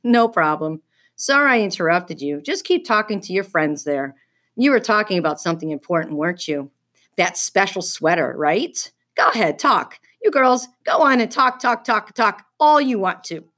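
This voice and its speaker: A woman's voice: medium pitch, lively intonation, moderate pace, fairly bright tone. A woman reading a children's story, voicing a smug, teasing fifth-grade boy with a mocking, needling manner.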